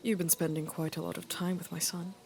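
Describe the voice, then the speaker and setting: A woman playing an aging, regal queen and mother in a fantasy audio drama, speaking in a measured, deliberate and wry way. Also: lowish voice, level tone, a little mellow, a little hiss or hum, lightly acted. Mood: wry, teasing. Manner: measured, deliberate, dryly teasing.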